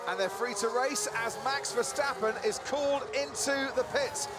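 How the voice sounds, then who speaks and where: A man's voice: very high voice, moderate pace. A man giving live lead commentary on a motor race in an urgent, dramatic way. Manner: urgent, dramatic rhetorical questions. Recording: loud engine and trackside noise behind. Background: race cars and outdoor circuit ambience.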